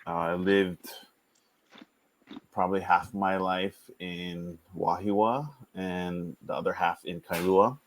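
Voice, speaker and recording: A man in his thirties from Hawaii, co-hosting a casual podcast, hesitant with many pauses. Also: low-pitched, fairly even intonation, slight background noise. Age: 30s. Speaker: podcast co-host.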